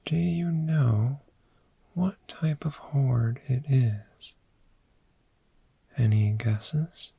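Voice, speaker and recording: Man as a very slow, whispering friendly dragon. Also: mid-range voice, no room sound, full character voice. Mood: cozy, welcoming. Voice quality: soft, breathy whisper. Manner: slow, soothing, unhurried with long pauses. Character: friendly, gentle cave dragon fond of sleep. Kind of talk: soft-spoken ASMR roleplay.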